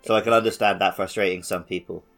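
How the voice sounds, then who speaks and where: Low-voiced man chatting on a podcast. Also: fairly even intonation, quick pace, a bit bright, soft background music. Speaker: podcast host. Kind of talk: casual gaming podcast chat.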